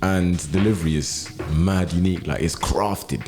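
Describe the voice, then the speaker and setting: A man's voice: low-pitched, normal speed, a little mellow. A young man of Ghanaian roots, co-hosting and rambling with fillers. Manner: rambling, trails off with "and whatnot". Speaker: radio co-host. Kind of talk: casual interview chat.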